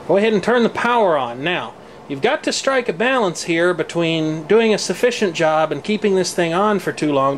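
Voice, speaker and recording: An American man with a fairly high-pitched voice talks through a hands-on demonstration. His delivery is casual, explanatory and a little wry. It is recorded indoors on a handheld camcorder, with a faint steady noise behind him. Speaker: presenter demonstrating tape deck maintenance. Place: indoor room at home. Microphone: handheld camcorder microphone.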